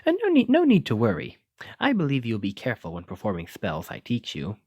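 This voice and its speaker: Guy doing a kindly excitable wizard teacher for asmr roleplay, genteel and theatrical, pitch jumping all over, close dry sound. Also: brisk, husky, no echo, muffled recording, heavily acted. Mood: genteel, instructive. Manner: theatrical, genteel old-fashioned diction, encouraging. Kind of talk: ASMR roleplay addressed to the listener.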